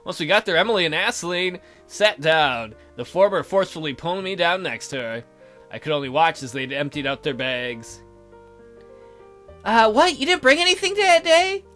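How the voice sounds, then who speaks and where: A man's voice: a little high, expressive, normal speed, bright, forceful tone, soft background music. A young man hosting a let's play, reading a visual novel aloud and adding playful, joking commentary.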